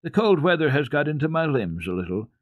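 A man voicing a frail, kindly, pious old grandmother in a storybook, with a gentle, reassuring manner. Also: fairly high pitch, sing-song, brisk, no room sound, dull audio, voice pushed up.

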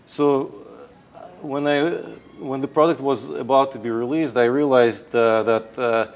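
A man's voice: mid-range voice, fairly even intonation, a little slow. A man in his thirties from Russia with a Russian accent, answering interview questions hesitantly with many fillers. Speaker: guest, tech founder. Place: indoor studio set. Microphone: lapel.

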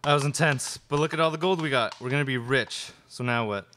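A man's voice: medium pitch, fairly quick, natural voice. A young man playing a nervous, reluctant outlaw sidekick in a student radio play. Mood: relieved, casual. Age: young adult. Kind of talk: student live radio play, read from script. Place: classroom studio, indoors. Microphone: stand microphone. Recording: noisy live room recording with clicks.

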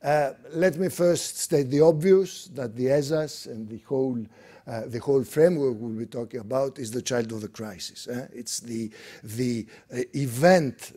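A middle-aged man with a Greek accent speaks from a podium in a conference room. His voice is warm and mellow, and he works through his points with frequent fillers and restarts. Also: medium pitch. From Greece. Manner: hesitant, many fillers and restarts. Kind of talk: unscripted lecture.

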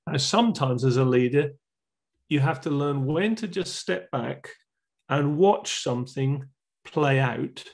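Gravelly middle-aged church leader. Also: male voice, mid-range voice. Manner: earnest, explanatory, gestures with both hands. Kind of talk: recorded teaching talk. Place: home room with bookshelves. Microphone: wired earphone mic on video call.

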